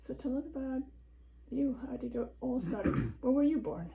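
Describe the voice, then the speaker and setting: Woman in her 60s, mellow voice, gentle halting interviewer, faint hiss behind. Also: high voice, lively intonation, vocal fry, dull audio. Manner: hesitant, many ums. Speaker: interviewer, vlogger. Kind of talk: casual home interview.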